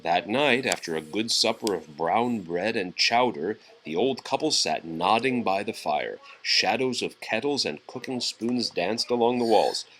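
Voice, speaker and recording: A man's voice: on the low side, expressive, a little slow, hardly any pauses, a bit bright, slight background noise, rough recording. A man reading a children's storybook aloud to a child, giving expression to the characters.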